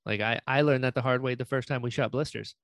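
A man's voice: mid-range voice, fairly even intonation, quick pace, dry recording, clean studio sound. A man hosting a casual interview podcast, speaking enthusiastically and readily affirming his guest. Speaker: podcast host.

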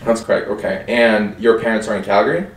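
A man's voice: fairly low pitch, fast talker. A young man from Sweden whose first language is Swedish, hosting a casual podcast in an upbeat, joking way with many fillers. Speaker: podcast host. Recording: echoey room, mic at a distance.

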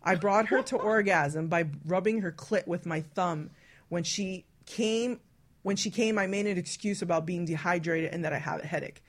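A woman's voice: lowish voice, normal speed. A woman hosting a radio talk show, chatting casually and candidly and reading out listener stories.

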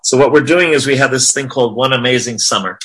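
Bright-voiced man leading a spiritual class. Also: medium pitch, fast, breathy edge, poor audio. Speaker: religious class leader. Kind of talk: informal spiritual class discussion.

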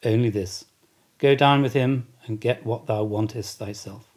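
A man's voice: medium pitch, a little slow, a little mellow, natural voice. A man voicing a sober, curt chief mate of a whaling ship in a reading of a classic novel, terse and restrained. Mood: stern restraint.

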